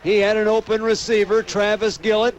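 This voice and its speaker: A man's voice: high voice, fairly quick, faint music behind. An American man calling live football play-by-play as a radio announcer, over outdoor noise. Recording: noisy outdoor stadium radio broadcast. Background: steady outdoor ambient noise.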